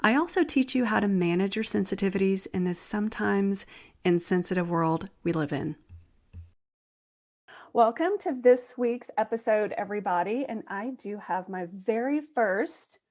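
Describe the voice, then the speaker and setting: A woman hosting her own podcast, introducing a guest and asking questions in an eager, excitable way. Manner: eager, excitable, with sudden upward jumps in pitch. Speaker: podcast host and empath coach.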